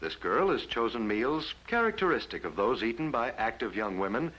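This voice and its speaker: A man's voice: medium pitch, very animated, pushed and bright, low-quality recording. An American man narrating a 1950s instructional film from a script in a formal announcer manner, on an old film soundtrack. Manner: formal, measured announcer delivery.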